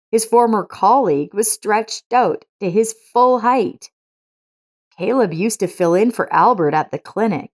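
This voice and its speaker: A woman's voice: lowish voice, a little mellow. A woman reading a scripted story as its narrator.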